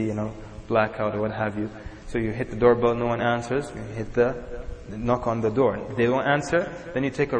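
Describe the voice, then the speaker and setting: Man preaching in an echoey hall. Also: medium pitch. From Sudan. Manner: animated, acts out examples in little voices. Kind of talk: sermon to a live audience. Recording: echoey hall with long reverb.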